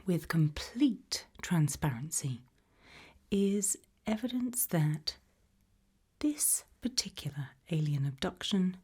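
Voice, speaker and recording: A British woman speaking gently and reassuringly, mostly in a whisper, as an interviewer in an ASMR roleplay. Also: low voice, breathy edge. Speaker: ASMR roleplay host playing an abduction investigator.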